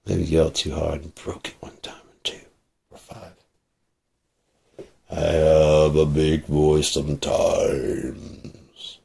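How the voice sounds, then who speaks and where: A man's voice: a bass voice, flat delivery, slow, vocal fry, long pauses, warm tone, husky. A man talking casually on a livestream, rambling and swearing freely, into a processed studio microphone.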